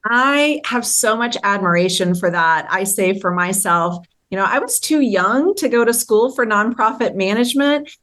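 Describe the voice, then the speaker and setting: A woman's voice: medium pitch, lively intonation, normal speed, hardly any pauses, fairly bright tone. A woman hosting an interview podcast, speaking in an upbeat, enthusiastic and effusive way. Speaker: podcast host.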